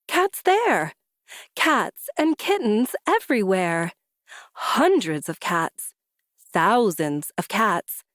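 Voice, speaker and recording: A woman reading a children's story aloud with deliberate, rhythmic, emphatic phrasing. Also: on the high side, no echo, clean studio sound. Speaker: storybook reader. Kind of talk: expressive children's story narration.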